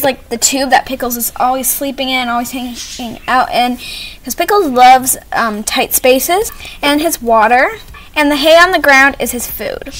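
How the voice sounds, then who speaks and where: High-voiced young girl vlogger, chatty. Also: a bit bright. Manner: rambling, chatty, self-correcting. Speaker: host of a doll video channel. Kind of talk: casual home tour vlog chat. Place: small home playroom, indoors. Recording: noticeable background noise, amateur camera audio.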